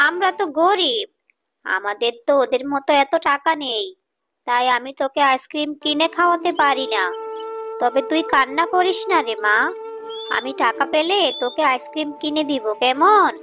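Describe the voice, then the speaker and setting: A woman voicing a gentle, careworn mother fox who is poor and tender, in cartoon story dialogue. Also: high-pitched, expressive, moderate pace, over music. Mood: soothing. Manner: tender, sorrowful. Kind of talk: animated children's story dialogue.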